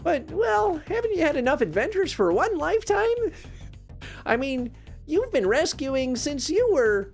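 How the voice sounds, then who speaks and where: Woman doing a high-pitched, plucky young male monkey. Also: big pitch swings, a little slow, creaky, darkish tone, faint music behind, exaggerated voice. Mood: hesitant. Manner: eager, emphatic, youthful.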